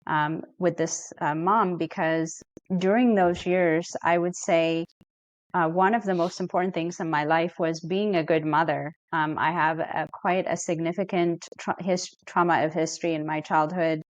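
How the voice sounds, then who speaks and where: A middle-aged woman from Ethiopia, a therapist speaking as a podcast guest in an earnest, reflective and measured way. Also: on the low side, fairly even intonation, no room sound. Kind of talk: podcast interview.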